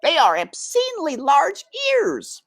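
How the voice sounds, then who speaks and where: A woman's voice: high voice, wildly swooping pitch, unhurried, no room sound, voice pushed up, exaggerated voice. A woman voicing a haughty, indignant aunt mouse in a storybook, making emphatic pronouncements. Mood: scandalized.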